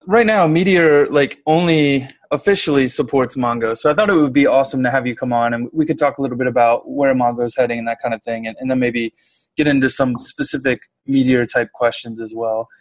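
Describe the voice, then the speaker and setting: A man's voice: mid-range voice, fairly quick, no room sound. A man hosting a podcast from a hotel room, chatting casually and asking his guest friendly questions. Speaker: podcast host.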